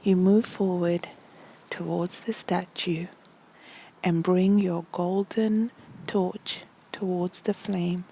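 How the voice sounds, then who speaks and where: Slow, soft woman guiding a meditation. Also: lowish voice, flat delivery, slight background noise, rough recording. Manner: soothing, deliberate, short phrases with many pauses. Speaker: guided meditation narrator. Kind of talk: guided meditation storytelling.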